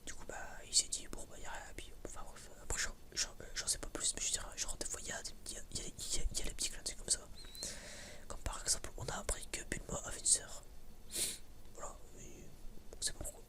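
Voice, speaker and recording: A man's voice: extremely high pitch, monotone, brisk. A young man with a French accent whispering as an ASMR video host, rambling hesitantly and often repeating himself.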